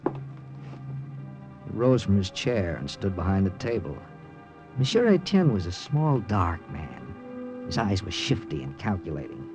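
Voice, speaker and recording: An actor playing a tough, weary American army captain with an American accent, narrating and speaking in clipped 1940s radio style. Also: male voice, low voice, very animated, takes it slowly, long pauses, over music, full character voice. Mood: grim, reflective. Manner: hard-boiled, clipped. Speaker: narrator and lead character. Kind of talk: 1940s radio acting with first-person narration.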